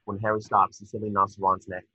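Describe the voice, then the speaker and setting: Husky guy reading screenplay directions, bright forceful tone, trips on words, lo-fi call audio. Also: fairly low pitch, level tone, fast. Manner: stumbles over words. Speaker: narrator reading stage directions. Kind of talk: scripted narration at a table read. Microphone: video call. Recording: dull lo-fi video call audio.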